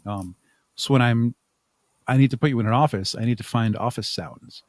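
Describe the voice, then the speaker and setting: A man answering interview questions conversationally as a podcast guest, thinking aloud in a halting way with repeated words. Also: medium pitch, dry recording. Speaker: podcast guest, audio drama writer and producer.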